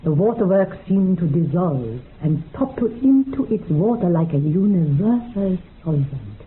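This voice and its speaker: A woman's voice: on the low side, very animated, a little slow. An actress playing a solemn, utterly convinced female mystic, speaking in grand, incantatory poetic phrases in a radio drama. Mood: rapt, reverent. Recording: tinny narrow-band radio sound, faint noise.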